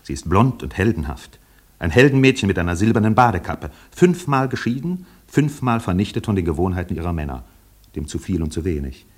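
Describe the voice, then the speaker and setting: An actor playing a wry narrator in a literary radio play, telling it in a measured, lyrical way. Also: male voice, low-pitched, very animated, a little mellow.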